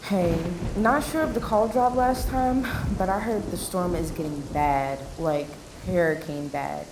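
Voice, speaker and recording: A woman's voice: fairly low pitch, unhurried, noisy background. A woman playing a sassy, impatient late-night diner waitress in an audio drama, with a brusque manner. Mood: concerned.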